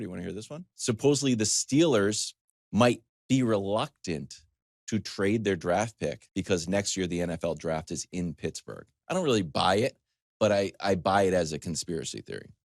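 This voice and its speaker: A man with a fairly low, very animated voice delivers a comedic sports monologue as a talk show host. He is conversational and emphatic, slipping into asides and imagined questions. The sound is close and dry, as on a broadcast studio microphone. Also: dry recording.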